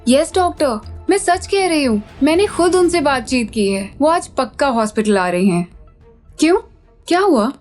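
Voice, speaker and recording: A woman's voice: high voice, animated, moderate pace, darkish tone, faint music behind. A woman playing a smug, deceitful young medical colleague in an audio drama, self-assured and catty. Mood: confident, lying. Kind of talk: Hindi audio drama acting.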